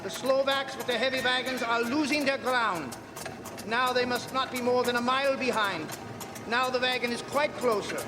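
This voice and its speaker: A man's voice: extremely high pitch, normal speed. A man playing a grave, commanding foreign professor who hunts vampires, theatrical and emphatic in a live radio drama. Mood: tense, grave. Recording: live stage in reverberant hall, loud music.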